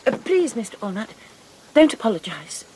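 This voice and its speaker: A woman's voice: a little high, very animated, normal speed, darkish tone, a little hiss or hum, low-quality recording. An actress playing a prim, formal spinster missionary woman in a radio drama. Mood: tender.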